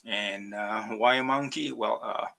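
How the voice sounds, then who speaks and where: A man's voice: fairly low pitch, unhurried, creaky, pushed and bright. A man from Indonesia, an artist, giving a hesitant online talk about his work over a video call, with many fillers and restarts. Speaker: ceramic artist. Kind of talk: virtual artist talk presenting slides.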